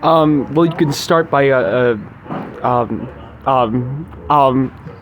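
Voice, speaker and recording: A young man in casual comic chat, flippant and often halting as he thinks. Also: mid-range voice, slight background noise. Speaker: podcast co-host. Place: sushi restaurant.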